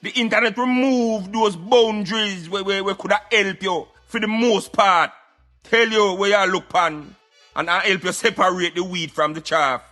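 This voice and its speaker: A man's voice: high-pitched, steady tone, moderate pace, pushed and bright, soft background music. A man with a Jamaican accent playing a streetwise, preachy Rasta commentator ranting insistently on a satirical radio show. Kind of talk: satirical radio rant in patois.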